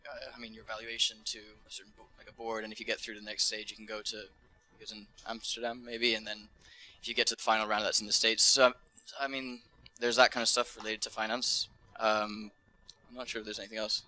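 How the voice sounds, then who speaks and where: Flat, bright young male student. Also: on the low side, fairly quick, few pauses, rough recording. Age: young adult. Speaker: student panelist. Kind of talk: casual explanation at a Q&A.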